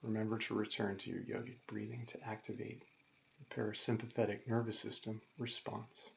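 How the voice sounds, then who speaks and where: A man leading a guided meditation as a yoga teacher, calm and gentle, giving measured instructions with pauses. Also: fairly low pitch, creaky. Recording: dull lo-fi recording with faint noise.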